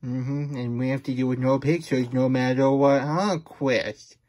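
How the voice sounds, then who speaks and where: A man voicing a childlike, fretful and grumbly plush hedgehog who hosts a puppet story time, stammering through exasperated asides with a husky voice. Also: mid-range voice, steady tone, a little slow, a little mellow, lightly acted. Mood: reluctant, fretful. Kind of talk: puppet read-aloud with chatty asides.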